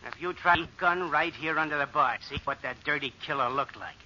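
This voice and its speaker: An actor playing a nosy, familiar barroom fellow with slangy, insistent talk in an old radio drama. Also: male voice, on the high side, fairly quick, bright, forceful tone. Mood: brash, chummy. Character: nosy, chummy tough-talking barroom fellow. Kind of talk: 1940s radio drama acting. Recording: muffled old radio recording.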